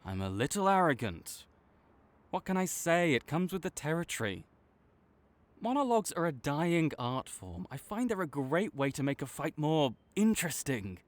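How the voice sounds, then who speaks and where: Man playing smug supervillain rival, animated, swooping pitch. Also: moderate pace. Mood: smug, teasing. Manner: smug, teasing, theatrical with sighs. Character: arrogant, teasing male supervillain rival, grudgingly tender. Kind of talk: dramatic roleplay monologue spoken to the listener.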